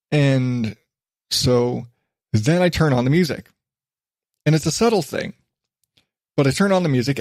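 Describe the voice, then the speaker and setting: A man's voice: medium pitch, vocal fry, darkish tone, no room sound, clean studio sound. A man hosting a rambling solo podcast, chatty and self-deprecating, drifting from one digression to the next. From western United States. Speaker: podcast host. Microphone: studio microphone.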